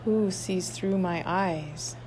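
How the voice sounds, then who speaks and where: A woman guiding a meditation, reading instructions in an unhurried way with long pauses. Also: lowish voice, darkish tone. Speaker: meditation guide at a wellness retreat. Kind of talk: guided meditation, read aloud softly. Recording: clearly noisy ambient background.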